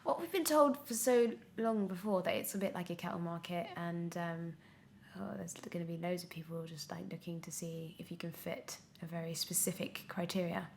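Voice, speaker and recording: Creaky-voiced young woman chatting candidly. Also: on the low side, steady tone, normal speed, slight background noise. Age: 20s. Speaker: guest, young actor. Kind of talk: casual interview chat.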